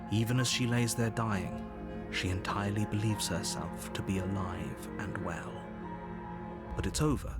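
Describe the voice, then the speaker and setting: A man plays an ominous storyteller in a horror game. He narrates in a measured, literary way, pressing the listener with grave insistence. Music sits clearly behind him. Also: on the low side, close to an everyday voice. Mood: dismissive. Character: male narrator urging a slaying, grave and insistent. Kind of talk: scripted second-person narration in a horror game. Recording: game audio under music. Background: prominent music.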